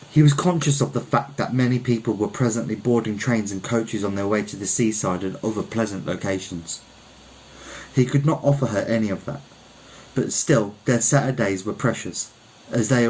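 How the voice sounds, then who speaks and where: A man from Birmingham, England, reading a literary short story aloud in a measured, reflective manner. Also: lowish voice, a little mellow, low-quality recording. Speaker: story reader.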